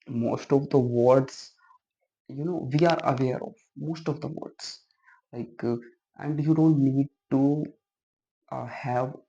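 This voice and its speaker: Male vlogger with a Hindi mother tongue, a level and even delivery, hesitant with lots of fillers, and dull lo-fi sound. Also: medium pitch, creaky. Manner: hesitant, many fillers and restarts, encouraging. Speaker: English-speaking vlogger. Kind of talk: casual talk to camera, giving advice.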